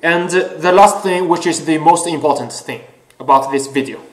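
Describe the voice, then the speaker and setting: A man whose first language is Chinese hosts his own talk show, speaking earnestly with many pauses and fillers. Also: on the high side. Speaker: host. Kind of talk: casual solo talk show. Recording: echoey room.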